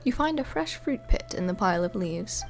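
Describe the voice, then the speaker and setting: Gamer lady commentating and reading game text, lots of vocal fry, normal pitch, faint music behind. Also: animated, fairly quick. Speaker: gaming video host. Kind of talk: casual game playthrough commentary, reading on-screen text aloud. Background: soft game music.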